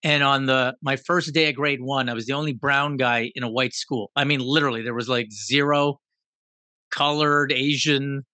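A man in his fifties from Guyana, telling a personal story in an animated, reflective, self-deprecating way. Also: medium pitch, normal speed, dry recording. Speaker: guest.

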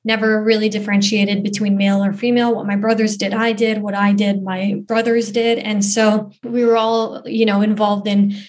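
A woman's voice: mid-range voice, little pitch movement, normal speed, few pauses, darkish tone. A woman, giving earnest, thoughtful answers as an interview guest, often saying "you know" and "um". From Canada. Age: 20s. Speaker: guest, footballer and activist.

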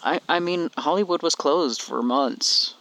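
Slow, halting man chatting on a podcast. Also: on the high side, bright, forceful tone. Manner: halting, thinking aloud. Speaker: podcast co-host. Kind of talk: casual chat.

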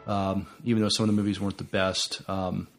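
Guy with lowish voice doing casual movie podcast, kinda stammery, bit of music behind. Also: level tone. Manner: halting, stammering delivery. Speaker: podcast co-host. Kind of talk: casual film review chat.

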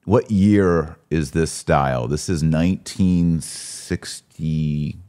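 A man's voice: rumbling low, takes it slowly, dark tone. A man hosting a conversational religious commentary podcast, often posing incredulous rhetorical questions. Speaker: podcast host.

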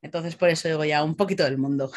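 A woman's voice: low voice, a little fast. A woman from the Basque Country, telling personal stories casually as a podcast guest, acting out bits of dialogue. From Basque Country, Spain. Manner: chatty, animated retelling with quoted dialogue.

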